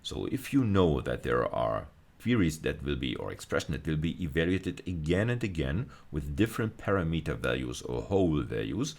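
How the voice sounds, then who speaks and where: A middle-aged man giving an explanatory technical lecture, halting now and then to correct himself as he thinks aloud. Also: extremely low pitch, very animated, a little mellow. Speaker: university lecturer. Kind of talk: explanatory screencast lecture on programming.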